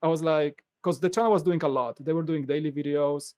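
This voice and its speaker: A man with a Polish accent, talking in a fairly high voice as a podcast guest. He tells his story with animated energy and acts out what others said. The sound is dry and close, with no room echo. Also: a little fast. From Poland. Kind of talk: casual podcast interview.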